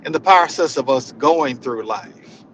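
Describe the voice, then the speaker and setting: A man with a mid-pitched voice gives an informal inspirational talk at a slow pace. He is reflective and halting, circling back to repeat phrases. Clear ambient noise, like that of a vehicle, sits behind his voice. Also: expressive, dull audio. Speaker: spiritual session leader.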